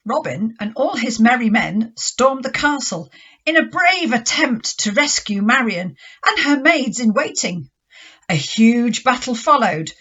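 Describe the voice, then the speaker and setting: A woman's voice: a little high, a bit bright, slightly rough, dull audio, full character voice. A woman playing a wry pantomime storyteller, delivering theatrical scripted narration.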